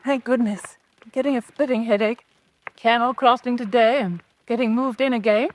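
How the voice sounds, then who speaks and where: Brief: woman playing a genteel middle-aged mother, fretful and proper, highish voice, radio drama. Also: moderate pace, lightly acted, low-quality recording. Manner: genteel, mildly complaining. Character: genteel, fretful wife and mother at card table. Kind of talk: old-time radio drama acting.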